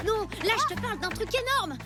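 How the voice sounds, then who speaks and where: A woman's voice: extremely high pitch, expressive, fast, over loud music. A woman voicing an eager, plucky young boy in a French cartoon, exclaiming and protesting with wide-eyed feeling. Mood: puzzled, insistent.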